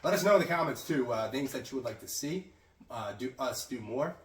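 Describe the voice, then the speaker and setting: A man hosting a casual sports chat, raspy and hoarse from a cold, chatty and prone to restarts. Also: medium pitch, lively intonation, fairly quick, roomy sound, far from the mic, slight background noise. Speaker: host.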